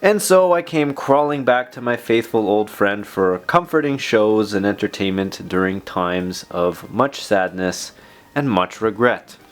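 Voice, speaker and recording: A man's voice: on the low side, animated, a little slow. A man narrating a personal vlog in an informal, unscripted, self-deprecating way, rambling as he goes. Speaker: video host.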